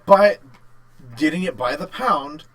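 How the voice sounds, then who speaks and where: A man co-hosting a casual podcast chat, thinking aloud in short, halting bursts. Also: on the high side, lively intonation, fairly bright tone. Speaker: podcast co-host. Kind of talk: casual chat about games and work.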